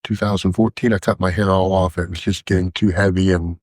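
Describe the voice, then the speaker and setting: A man's voice: sits low, fairly even intonation, a little fast, darkish tone, no echo, clean studio sound. A middle-aged American man from West Virginia, talking conversationally as an interview guest and sound engineer, explaining things in a matter-of-fact way. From West Virginia, United States. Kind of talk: conversational technical explanation and anecdotes.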